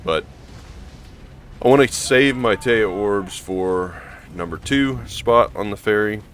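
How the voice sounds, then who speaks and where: Hoarse, gravelly low-voiced man. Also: slow pace, a bit bright. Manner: casual, meandering, lots of ums and restarts. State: hoarse from allergies. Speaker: gaming channel host. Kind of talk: rambling gameplay commentary.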